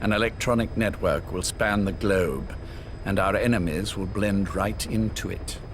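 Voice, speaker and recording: A man playing a cold, visionary older spymaster in a dramatic video game, speaking in a measured, formal, lecturing way. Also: deep voice, background noise. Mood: dismissive, lecturing. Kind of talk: scripted dramatic game dialogue.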